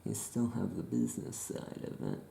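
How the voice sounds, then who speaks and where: A soft-spoken ASMR performer talking as an easygoing, chatty witch shopkeeper, rambling and trailing off in an unhurried way. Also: big pitch swings. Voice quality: soft-spoken, low creaky voice. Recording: roomy echo, faint background noise.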